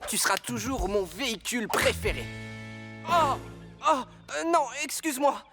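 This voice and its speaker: A man's voice: very high-pitched, expressive, normal speed. Young cartoon fireman: fretful, sweet-natured, stumbling apologies, music behind. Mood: tender, apologetic. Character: soft-hearted, clumsy young male firefighter, anxiously apologetic. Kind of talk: French-language cartoon dub acting.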